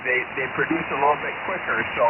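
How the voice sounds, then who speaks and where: An older American man chatting casually over ham radio, courteous and folksy, with a halting delivery. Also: medium pitch. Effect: ham radio transmission. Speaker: amateur radio operator calling in. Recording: narrow noisy shortwave radio signal.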